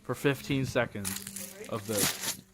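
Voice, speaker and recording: A man's voice: fairly low pitch, slow, noisy background. A young man in his late teens, streaming from home on a desk mic and chatting casually, rambling with lots of fillers and self-corrections. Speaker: streamer reacting to videos. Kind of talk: casual live-stream chat.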